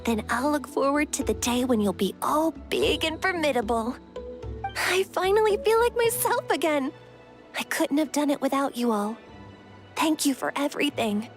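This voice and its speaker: A woman's voice: high voice, expressive, normal speed, music in the background. A woman playing a brave, protective young warrior haunted by childhood fears in a dramatic video game. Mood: subdued, relieved.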